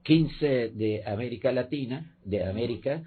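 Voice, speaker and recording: A man, a guest professor, giving measured political commentary in a debate, pausing often with fillers. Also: mid-range voice, breathy edge. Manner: measured, halting with many fillers. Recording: tinny phone-like line.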